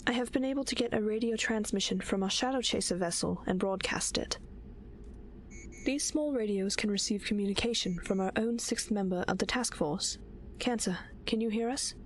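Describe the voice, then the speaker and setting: A woman playing a courteous, poised inventor princess in an audio drama, formal, measured and polite. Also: mid-range voice, a little hiss or hum, natural voice. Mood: composed.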